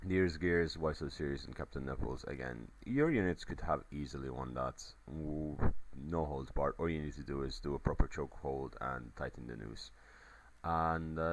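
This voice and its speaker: A man's voice: rumbling low, normal speed. A man giving casual play-by-play commentary on a strategy game replay, his voice a little crackly, rambling with many fillers.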